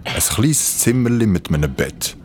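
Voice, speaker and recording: A man's voice: on the low side, big pitch swings, unhurried, a little mellow. A man playing a stranded, injured young hiker with a Swiss accent, narrating and speaking in a radio play. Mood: wry recounting. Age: young adult. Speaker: narrator and lead character. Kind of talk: first-person radio play narration and dialogue.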